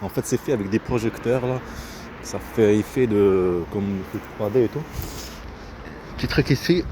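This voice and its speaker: A man giving a casual, rambling vlog commentary in a halting, repetitive way, often breaking off his own sentences. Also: on the low side. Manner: halting, repetitive, self-interrupting. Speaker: vlogger. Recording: noisy outdoor recording with vehicle sounds.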